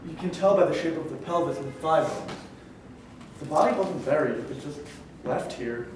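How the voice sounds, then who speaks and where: A man's voice: fairly high pitch, lively intonation, moderate pace, a little mellow, a little hiss or hum, lightly acted. Careful young archaeologist, acted by a man, very echoey. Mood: careful, analytical. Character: careful, analytical young male archaeologist leading a team. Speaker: actor. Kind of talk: staged play reading. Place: echoey room at a staged reading. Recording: very echoey room, distant mic.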